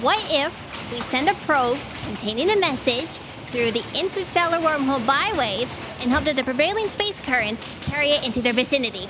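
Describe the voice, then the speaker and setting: A young woman playing an eager, excitable female aide in a staged comedy, gushing and over-eager. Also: sits high, fairly quick, background noise. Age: young adult. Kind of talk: staged comic audio drama acting. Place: theatre stage before an audience. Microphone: stand microphone.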